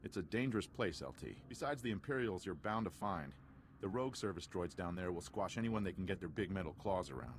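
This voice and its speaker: A man's voice: deep voice, expressive, fairly quick, a little hiss or hum. A man playing a cheeky, dutiful army sergeant in a game, talking in a breezy, wry, informal way. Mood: helpful, brisk. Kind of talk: video game cutscene acting.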